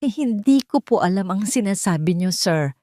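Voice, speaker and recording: Narrator as pleading, frightened woman with swooping pitch. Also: lively intonation, moderate pace, dark tone, no room sound, studio quality, lightly acted. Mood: nervous. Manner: trembling, pleading, deferential. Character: frightened, guilty poor woman, sobbing and pleading.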